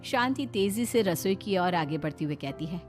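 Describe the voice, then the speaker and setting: A woman's voice: fairly low pitch, expressive, moderate pace, soft background music. A woman narrating a scripted cartoon story as its storyteller.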